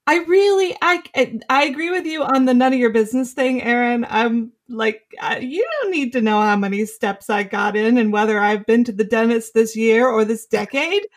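Laid-back woman with a higher voice. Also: moderate pace, few pauses. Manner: relaxed, rambling, laughs often. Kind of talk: casual chat.

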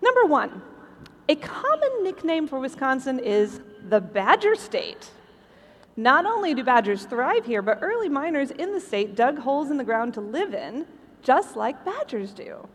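A woman with a high-pitched voice presents scripted, lighthearted material as a show host. She speaks from a stage in a large hall, with long reverb around her words. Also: sing-song, unhurried, slight background noise.

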